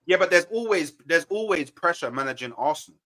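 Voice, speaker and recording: Man, bright forceful voice, defensive, repetitive, heated football debate. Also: fairly high pitch, animated, brisk. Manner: defensive, repeats himself when pressed. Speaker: football fan panelist.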